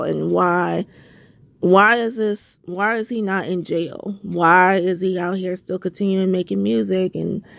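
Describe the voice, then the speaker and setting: Stuffy, gravelly woman ranting. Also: fairly low pitch, no room sound. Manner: heated, repetitive, rhetorical questions. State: stuffy from a cold. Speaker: vlogger. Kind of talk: casual ranting commentary.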